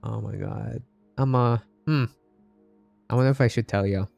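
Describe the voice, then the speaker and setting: A man's voice: medium pitch, normal speed, vocal fry, darkish tone, no echo, highs cut off. A man from the Philippines livestreaming a game, chatting casually with his viewers. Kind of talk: casual chat with live viewers while gaming. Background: game music.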